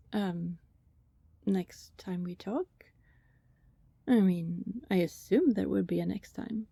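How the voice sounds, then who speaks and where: A woman playing a friendly, teasing vampire neighbour in an intimate ASMR roleplay, chatty and casual, with a soft breathy voice that often slips toward a whisper. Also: medium pitch, dry recording. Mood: playful, teasing. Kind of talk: ASMR roleplay, intimate one-sided conversation with listener.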